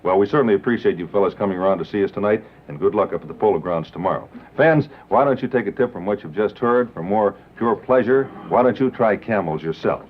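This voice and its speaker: A man's voice: fairly low pitch, fast, few pauses, a little hiss or hum. An American man working as announcer and interviewer in a 1950s live television sponsor spot. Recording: dull muffled 1950s TV broadcast.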